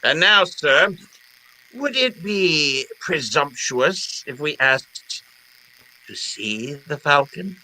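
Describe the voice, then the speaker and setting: Man playing wily crime boss, florid and courteous, highish sharp voice, swooping intonation, old-time radio drama. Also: animated, slight background noise, exaggerated voice, poor audio. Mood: courteous, amused. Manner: florid, courteous, deliberate phrasing. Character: genteel, wily older criminal mastermind, falsely jovial and polite.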